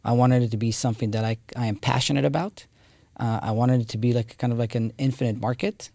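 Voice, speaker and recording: A man's voice: mid-range voice, fairly even intonation, quick pace, creaky, dark tone, dry recording. A man, telling his story as a guest with many fillers and restarts. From Israel. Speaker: guest, company co-founder. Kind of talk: casual interview talk.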